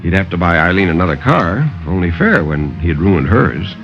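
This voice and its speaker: A man's voice: low voice, sing-song, moderate pace, faint music behind. A man narrating as a storyteller in dramatic 1950s radio horror style. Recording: muffled 1950s radio broadcast.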